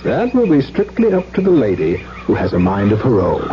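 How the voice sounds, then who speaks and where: A man's voice: fairly low pitch, wildly swooping pitch, moderate pace. A man acting an urbane, skeptical baron in a radio drama, with a formal, teasing, arch manner. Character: urbane, wry baron, skeptical gentleman cousin. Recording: muffled 1970s radio broadcast with noise.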